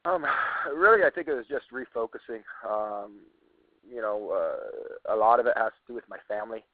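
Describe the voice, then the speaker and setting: A man's voice: fairly low pitch, moderate pace, dry recording. A man, a professional fighter, giving an earnest, hesitant interview answer over a tinny phone line, often repeating himself.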